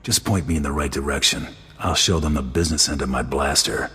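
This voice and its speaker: An actor playing a cool, confident, terse hired gun in a video game cutscene. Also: male voice, a bass voice, brisk, a bit bright, slightly rough, full character voice. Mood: cool, cocky. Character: cocky, cool male hired gun hero.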